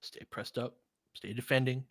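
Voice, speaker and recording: A man doing casual fan play-by-play of a game as a host, in an excitable, slangy style with some swearing. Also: medium pitch, normal speed, no echo. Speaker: sports podcast host calling a game.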